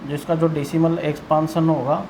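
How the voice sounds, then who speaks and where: A man's voice: fairly high pitch, flat delivery, moderate pace, a little mellow, a little hiss or hum, low-quality recording. An Indian man teaching maths in an explanatory lesson style, asking and answering his own questions and repeating key points.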